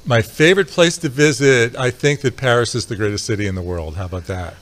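A man's voice: medium pitch, a little fast, a bit bright. A man who grew up in New York, a photographer and podcaster, chatting as a guest on a show with upbeat asides. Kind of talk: casual guest chat.

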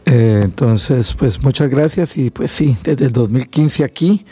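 A man's voice: mid-range voice, measured pace, warm tone, husky, no room sound. A Colombian man, a guest speaking on migrant work, friendly and hesitant with fillers. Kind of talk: casual radio chat.

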